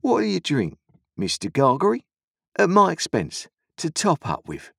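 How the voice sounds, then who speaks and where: A man voicing a cunning, secretive stranger who asks probing questions in a sly, drawn-out way. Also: fairly high pitch, huge pitch swings, vocal fry, a bit bright, slightly rough, no room sound, studio quality, pitched above the performer's own voice, exaggerated voice.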